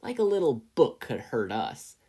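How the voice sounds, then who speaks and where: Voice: mid-range voice, very animated, normal speed, warm tone, husky. A reader voicing a smug, jeering teenage boy who tags along as a bully's crony. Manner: taunting.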